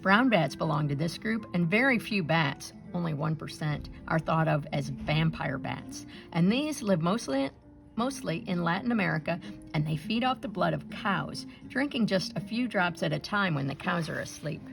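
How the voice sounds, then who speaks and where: A woman reading a children's picture book aloud in an expressive storytelling style, acting out the sounds and dialogue. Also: lowish voice, normal speed, gravelly, over music. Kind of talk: animated read-aloud narration for children.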